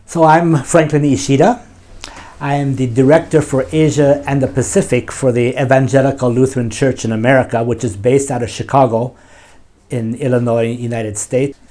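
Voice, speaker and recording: A man who directs a church's overseas mission, calmly answering interview questions in a measured way with some hesitations. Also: mid-range voice.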